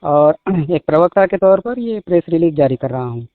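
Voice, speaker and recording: A man reading out a formal statement as a spokesman, in measured short phrases, over a phone call. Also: on the high side, lively intonation, no echo. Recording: muffled phone-line call recording.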